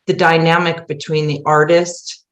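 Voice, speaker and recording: A woman's voice: deep voice, flat delivery, moderate pace, a bit bright. A middle-aged woman explaining things earnestly in a casual interview, wandering from one thought to the next. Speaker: theater writer and producer, interview guest.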